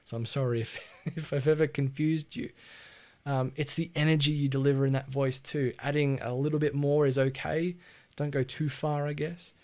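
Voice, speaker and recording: A man's voice: on the high side, little pitch movement, moderate pace, darkish tone. A man with an Australian accent hosting a casual livestream on branding, chatty and laid-back, with frequent ums and restarts. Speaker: livestream host teaching branding.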